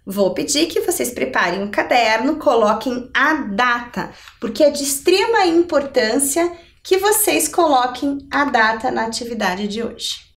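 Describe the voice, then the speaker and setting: A middle-aged woman explains things step by step as a teacher. Her voice is high and swings widely in melody, and she keeps checking in with questions. The sound is roomy, as if she stands a little way from the microphone. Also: expressive, a little slow, recorded from a distance. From Brazil. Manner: didactic, checking in with rhetorical questions. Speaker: adult education maths teacher. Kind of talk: televised lesson, explaining step by step. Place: indoor TV lesson studio. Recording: roomy echo, somewhat off the mic.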